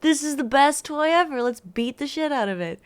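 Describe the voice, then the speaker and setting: A woman's voice: sits high, lively intonation, brisk. A young adult woman chatting casually in a group as one of the readers on a stream.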